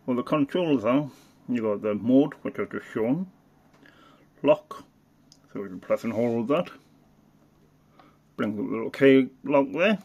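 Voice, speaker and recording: A man giving a casual, unscripted product demonstration as a hobbyist reviewer, halting and thinking aloud as he goes. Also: mid-range voice.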